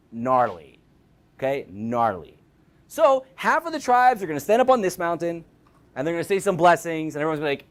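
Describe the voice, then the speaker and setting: A young man teaches Torah in a bright, high voice that leaps up and down in pitch. He talks casually and jokes, acting out the people in the story. His delivery stays lively and conversational. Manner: jokey, conversational, acts out voices. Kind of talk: animated school talk on Torah.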